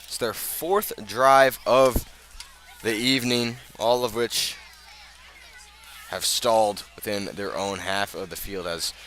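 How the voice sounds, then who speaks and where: An American man delivers live football play-by-play in a bright, projecting voice. He keeps a measured delivery between plays and builds when the action picks up, as a radio announcer does. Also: lowish voice, fairly even intonation.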